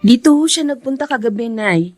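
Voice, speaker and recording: An actress playing a caring, gently chiding young older sister in a radio drama. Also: female voice, on the high side, lively intonation, fairly quick, soft background music.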